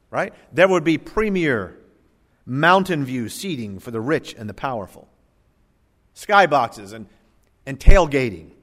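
A middle-aged male pastor preaching a sermon in a church, moving between loud emphatic bursts and quiet, low asides. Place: church sanctuary. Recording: church hall reverb.

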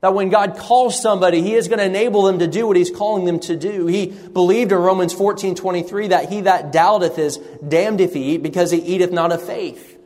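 A man preaching an earnest sermon, often repeating words and pausing with fillers. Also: high-pitched, steady tone, a little mellow, long reverb tail. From raised partly in Sri Lanka on the mission field.

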